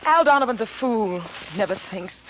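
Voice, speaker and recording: Through clear hiss on a dull 1940s radio recording comes a guarded, defiant widow. Her voice sits high, and her delivery is dramatic, cool and cutting. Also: expressive, normal speed, fairly bright tone. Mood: pleading. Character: guarded, defiant wife of a murdered man.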